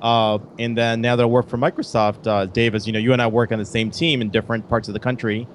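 A friendly man from Bangladesh chats as a guest on a podcast, speaking like an eager fan. His voice sits at an ordinary pitch, with a faint hiss in the background. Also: level tone, fast. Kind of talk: casual interview.

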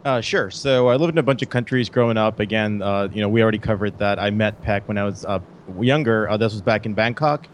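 A man's voice: on the low side, fast, few pauses, slight background noise. A man from Bangladesh, a guest on a casual podcast interview, talking in a friendly, fan-like way.

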